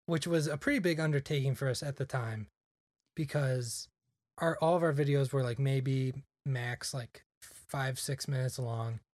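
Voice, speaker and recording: A man's voice: mid-range voice, unhurried, darkish tone, no echo. Young man rambling casually, dry mic. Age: young adult. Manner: rambling, lots of "like" fillers. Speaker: podcast guest. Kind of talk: casual chat.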